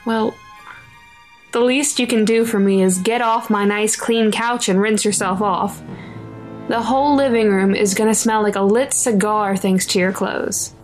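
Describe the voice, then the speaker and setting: A woman's voice: mid-range voice, fairly even intonation, measured pace, faint music behind, lightly acted. An actress playing a protective, fretting mother of a schoolboy in an audio drama, with a nagging, anxious manner.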